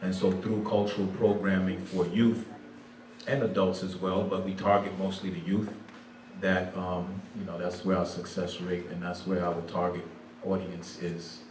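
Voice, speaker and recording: A man's voice: sits low, level tone, moderate pace, darkish tone. A man, a teacher and nonprofit program director, answering panel questions in a thoughtful way and slipping in Creole and French phrases. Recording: echoey room from far off, faint background noise.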